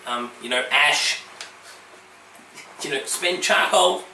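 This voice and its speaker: A man's voice: on the high side, takes it slowly, vocal fry, sharp tone. A man co-hosting a casual comedy podcast while getting over a virus, trading dry quips with lots of ums. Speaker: podcast co-host. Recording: echoey room, distant mic, faint hiss.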